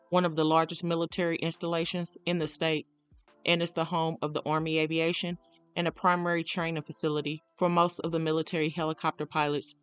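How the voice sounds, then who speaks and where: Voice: medium pitch, clear, resonant tone, dry recording. A narrator reading a scripted true crime documentary account.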